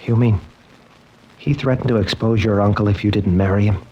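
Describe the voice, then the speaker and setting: A man's voice: on the low side, fairly even intonation, a little fast, husky. An actor playing an earnest, bewildered man just freed from jail in a 1940s radio drama. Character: bewildered, earnest young man wrongly jailed. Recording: dull lo-fi old radio recording.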